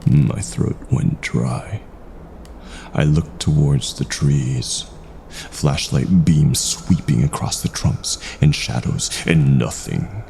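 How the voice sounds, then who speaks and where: A man narrating a scripted first-person horror story in a hushed, ominous, deliberate manner. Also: very deep voice, gravelly, slight background noise.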